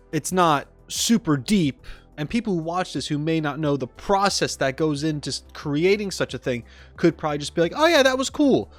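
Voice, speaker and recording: A man hosting a reaction breakdown as an animator, talking enthusiastically and stressing words for emphasis. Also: medium pitch.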